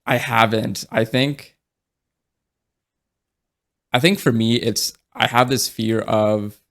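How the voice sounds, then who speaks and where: A young man in casual podcast conversation, talking in a relaxed, rambling way with many fillers and restarts. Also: medium pitch, slow pace.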